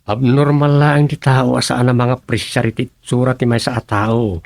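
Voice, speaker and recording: An actor playing a principled, mildly defensive husband with a Filipino Ilocano accent, explaining himself earnestly in a radio comedy drama. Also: male voice, medium pitch, flat delivery, unhurried, gravelly, no room sound.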